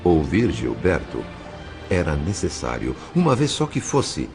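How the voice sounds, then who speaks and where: A low-pitched Brazilian man narrates a drama in solemn, literary phrases. His intonation swings expressively, and music plays clearly behind him. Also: huge pitch swings, a little slow. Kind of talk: dramatic scripted audiobook narration.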